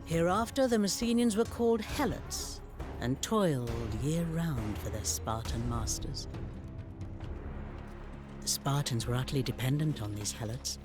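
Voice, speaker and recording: An actor playing a grave storyteller, narrating ancient history in a measured, literary style for a video game. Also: male voice, a little high, lively intonation, over music. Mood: dramatic gravity. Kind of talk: scripted dramatic narration.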